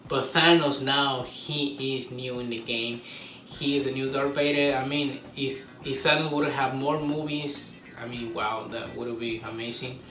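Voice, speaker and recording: A man giving a casual, excited fan review to camera, rambling informally and repeating himself. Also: mid-range voice, measured pace. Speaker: video creator reviewing a film. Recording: roomy echo, distant mic, faint noise.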